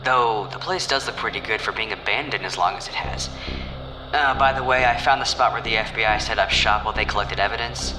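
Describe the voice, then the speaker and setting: An actor playing a cocky, sardonic private detective with a dry manner in an audio drama. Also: male voice, lowish voice, a little fast, over music, lightly acted, rough recording. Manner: dry, wisecracking. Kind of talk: naturalistic audio drama dialogue.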